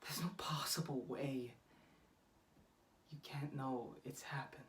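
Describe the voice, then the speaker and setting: An actor playing a young lover confessing aching love in a halting, earnest, intimate monologue. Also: medium pitch, steady tone, slight background noise, close to an everyday voice. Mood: bitter, pained. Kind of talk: naturalistic monologue acting. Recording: distant microphone.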